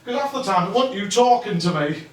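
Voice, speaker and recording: Casting: man playing weary husband, animated theatrical delivery, very echoey auditorium, mic far away. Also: high voice, fairly quick. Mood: hurt, accusing. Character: comedienne's husband, weary, hurt, pleading and loving. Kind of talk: theatrical stage acting.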